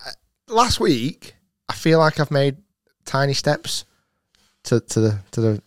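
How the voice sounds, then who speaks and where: A man with a slightly hoarse voice chats casually as a podcast host. He talks with enthusiasm and reacts to his co-host. He is recorded close, with a dry sound and a mid-range pitch. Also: very animated, measured pace, no room sound. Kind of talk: casual chat.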